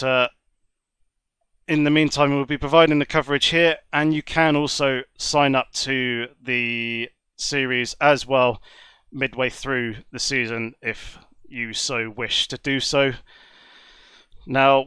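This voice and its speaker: A man commentating a sim racing broadcast, speaking in a measured, polite and wordy way while nursing a cold that leaves him a little congested. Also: medium pitch, bright, forceful tone, clear, resonant tone, no echo. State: has a cold, slightly congested. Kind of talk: live esports race commentary.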